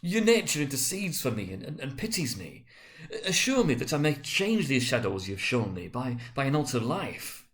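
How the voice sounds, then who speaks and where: A man's voice: mid-range voice, sing-song, fairly bright tone, voice pushed up. A man voicing a frightened, repentant old miser who stammers and pleads in a dramatic storybook reading. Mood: pleading, earnest. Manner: stammering, halting, urgent.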